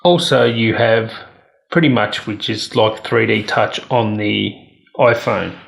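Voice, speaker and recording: Gravelly man giving a casual phone review. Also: fairly low pitch, slow pace. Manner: opinionated, candid. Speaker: tech reviewer.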